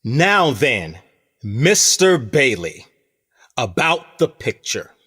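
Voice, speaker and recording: A man playing an earnest, pressing prosecutor in a radio play, deliberate, pointed and theatrical. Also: mid-range voice, very animated, pushed and bright, slightly rough, exaggerated voice. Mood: probing.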